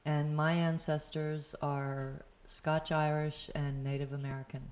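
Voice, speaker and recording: Brief: woman, 50s, very low and flat voice, slow and calm delivery. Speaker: think tank participant. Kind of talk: self-introduction on camera.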